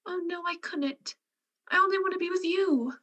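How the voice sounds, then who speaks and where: Radio drama role for a woman: timid young country sweetheart, very high and bright, devoted and superstitious. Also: normal speed. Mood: shy, adoring. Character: shy, adoring young country girl in love, superstitious. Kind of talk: radio play acting.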